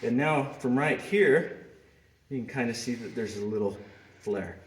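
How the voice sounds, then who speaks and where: A man's voice: medium pitch, measured pace. Middle-aged guy talking through a hoof trim while he works, patient and plain, roomy barn echo, faint hum behind. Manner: patient, explanatory, talks while working bent over a hoof. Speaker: farrier. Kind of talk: hands-on trimming demonstration. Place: roomy barn with concrete floor. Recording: echoey barn.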